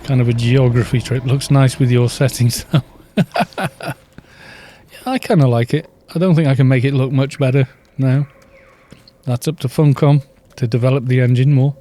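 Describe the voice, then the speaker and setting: A man chatting casually on a game livestream, soft-spoken and rambling, with little chuckles, into a studio microphone at home. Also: mid-range voice, steady tone, normal speed, pauses often. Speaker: livestream gamer. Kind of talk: casual chat while gaming, reading chat.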